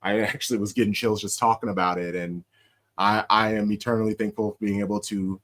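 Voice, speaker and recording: A young American man hosting a casual podcast, an animated storyteller who laughs often and shouts out quoted chants. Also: lowish voice, fairly quick. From United States. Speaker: podcast host.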